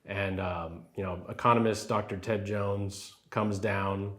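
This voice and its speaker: A man's voice: on the low side, steady tone, a little slow, a bit bright. A man, answering interview questions in an affable way, often saying "yes sir" and using fillers. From Rio Grande Valley, Texas. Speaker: title company president.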